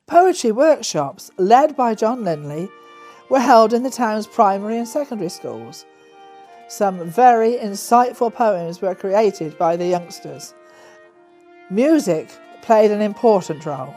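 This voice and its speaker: A woman's voice: medium pitch, lively intonation, a little slow, mellow, faint music behind. A woman reading scripted narration for a local documentary.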